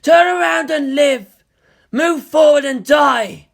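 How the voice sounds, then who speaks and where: A woman voicing an eerie teenage girl with unearthly power, making slow, solemn, vengeful pronouncements. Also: sits high, creaky, pitched above the performer's own voice, full character voice. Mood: solemn menace.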